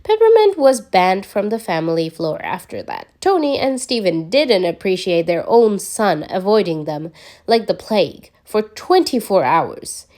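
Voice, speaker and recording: A woman reading fan fiction aloud, narrating and voicing dialogue in an expressive storytelling manner, with the occasional stumble. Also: medium pitch, unhurried. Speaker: podfic reader.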